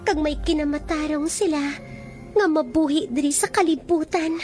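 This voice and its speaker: A woman's voice: sits high, moderate pace, a little mellow, close to an everyday voice. An actress playing a young bird princess, girlish and earnest, in a Filipino-accented radio drama. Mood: sweet, coy. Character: young bird princess, sweet yet determined. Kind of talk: Philippine radio drama acting. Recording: faint music behind the voice.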